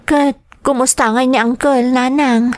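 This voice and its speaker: A woman's voice: sits high, fairly even intonation, a little slow, no room sound, clean studio sound. A woman acting as a dutiful, earnest young daughter in an Ilocano radio drama, speaking with a Filipino accent. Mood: concerned, sympathetic. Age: young adult.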